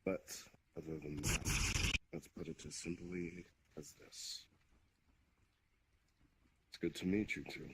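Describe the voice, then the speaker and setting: Man acting a demon king, very low voice, measured, formal, politely authoritative, roleplay game, noisy recording. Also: fairly even intonation, fairly bright tone. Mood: courteous, commanding. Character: ancient demon king, courteous, measured, quietly commanding. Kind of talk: improvised online roleplay. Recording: clear background noise.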